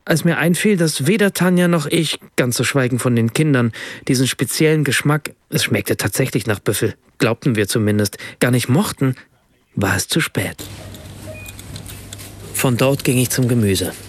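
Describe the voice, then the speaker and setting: A man's voice: mid-range voice, normal speed, breathy edge, no echo, natural voice. An actor playing a reflective German writer and father, narrating his own everyday story in a scripted radio play. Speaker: first-person narrator.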